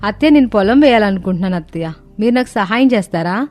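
A woman playing a cheerful, eager young city-bred daughter-in-law in an animated moral story. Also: medium pitch, fast, close to an everyday voice. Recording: music bed under the voice.

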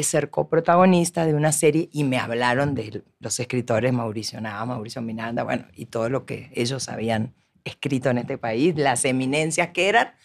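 A middle-aged woman from Argentina with an Argentine Spanish accent, talking expressively as a guest in a small podcast studio on a boom-arm mic. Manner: expressive, animated storytelling, frequent laughs. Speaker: guest actress. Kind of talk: casual podcast conversation.

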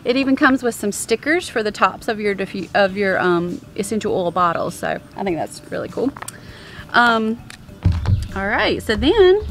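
Chatty woman vlogging outdoors on a lawn. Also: mid-range voice. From American. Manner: chatty, many ums, upbeat about products. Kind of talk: casual product show-and-tell. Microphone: handheld camera at arm's length.

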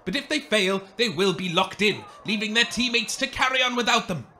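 A man's voice: high-pitched, expressive, a little fast, sharp tone, a little hiss or hum, heavily acted. A man playing a showy, grandiose wasteland game show host in a comedic radio play.

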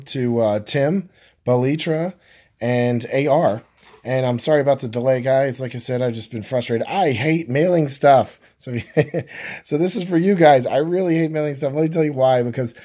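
A man with a scratchy, husky voice vlogs casually from home about postage prices. He rambles, with lots of fillers and restarts. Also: mid-range voice, warm tone. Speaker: YouTube vlogger. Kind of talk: casual talk to camera, explaining postage prices.

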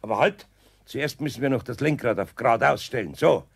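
An actor playing a patient, kindly older carpenter in a children's radio play. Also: male voice, mid-range voice, expressive, a little fast, gravelly, slight background noise.

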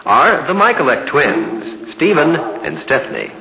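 A middle-aged man giving formal, measured 1950s newsreel narration. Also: medium pitch, sing-song. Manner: measured, formal announcer delivery. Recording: dull lo-fi 1950s newsreel soundtrack with loud music. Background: loud orchestral music.

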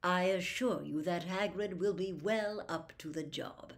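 A woman's voice: lowish voice, unhurried. A woman reading the part of a courteous, composed old wizard headmaster in a formal, gracious manner.

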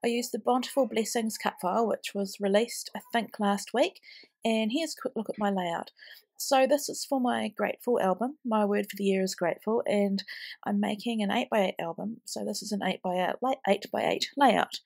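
A woman's voice: mid-range voice, moderate pace, creaky, a little mellow. A woman in her thirties, heavily pregnant and tired, chatting casually with a blocked, snuffly nose while narrating her scrapbooking. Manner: chatty, rambling with ums. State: stuffy nose from a cold. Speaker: scrapbooking vlogger. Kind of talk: casual craft voice-over.

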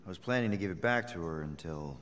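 An actor playing a wisecracking human space captain who talks in a casual, self-deprecating way. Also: male voice, low voice, expressive, fast, fairly bright tone. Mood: anxious.

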